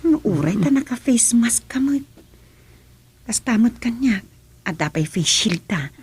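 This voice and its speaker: A caring wife with a fairly high, breathy voice, played by a woman. She coaxes and soothes in radio drama style. Her accent is Ilocano. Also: expressive, unhurried, darkish tone, exaggerated voice. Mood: tender. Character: caring, coaxing wife nursing her sick husband. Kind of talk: Filipino radio drama-comedy acting.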